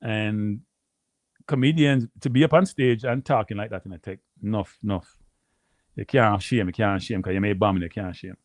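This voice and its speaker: A Jamaican man hosting a live stream, rambling casually to his viewers and repeating himself, with a deep voice. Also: normal speed, dry recording. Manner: rambling, repeats phrases, addresses viewers as people. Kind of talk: casual livestream chat.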